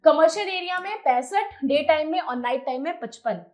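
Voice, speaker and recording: A woman's voice: high-pitched, expressive, a little fast. An Indian woman giving an explanatory lecture in an emphatic, conversational way, posing questions and answering them herself. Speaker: teacher, exam coaching presenter. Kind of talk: explanatory lecture mixing Hindi and English.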